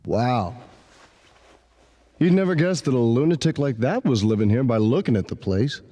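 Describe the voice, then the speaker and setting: An actor playing a brash, sarcastic young outlaw who talks in a blunt, mocking, quick-tempered way in a video game. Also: male voice, mid-range voice, lively intonation, mellow, natural voice.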